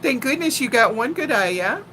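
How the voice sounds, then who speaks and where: A woman in her sixties chatting casually as a live stream host, telling personal stories in a playful, animated way. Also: on the high side, normal speed, a little hiss or hum, rough recording. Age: 60s. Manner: animated, playful storyteller who laughs easily and often. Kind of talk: casual chat and personal storytelling.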